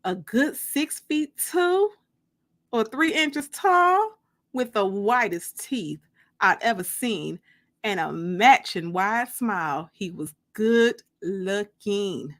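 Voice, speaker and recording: A woman giving an expressive first-person dramatic audiobook reading, with theatrical pauses. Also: medium pitch. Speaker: author reading her own novel.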